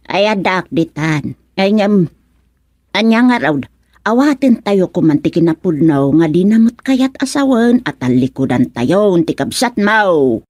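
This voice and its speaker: An actress playing a concerned older village auntie in a radio serial, sympathetic yet admonishing, with a Filipino accent. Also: female voice, lowish voice, animated, measured pace, a little mellow, no echo, studio quality. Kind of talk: radio serial drama acting.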